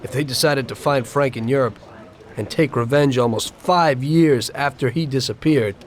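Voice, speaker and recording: A man's voice: medium pitch, normal speed, natural voice. An actor voicing a weary male mobster in a video game who plainly recounts his escape to a detective. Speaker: game protagonist.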